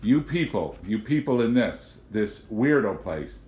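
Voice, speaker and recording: An exasperated middle-aged patriot of an agent, acted out by a male reader, preaching forcefully in audiobook style. His pitch pushes up above the narration and he gets loud, in a room with noticeable echo. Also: sing-song, a little slow, highs cut off. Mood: scornful. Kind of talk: audiobook character voice. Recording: roomy, echoing home recording.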